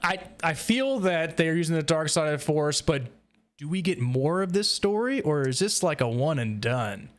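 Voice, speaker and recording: A man's voice: on the high side, animated, normal speed. A man hosting a casual roundtable podcast, explaining and steering the discussion in a conversational way. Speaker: podcast host leading the discussion.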